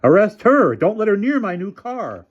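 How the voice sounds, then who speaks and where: Man doing a squawking, pompous developer; high and shrill. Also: sing-song, fairly quick, muffled recording. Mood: indignant. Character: panicky, bewildered male land developer, pompous.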